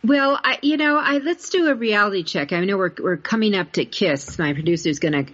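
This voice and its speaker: A woman's voice: medium pitch, brisk, fairly bright tone. A woman hosting a podcast interview in a conversational, emphatic, explanatory way. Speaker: podcast host. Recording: dull, lo-fi audio.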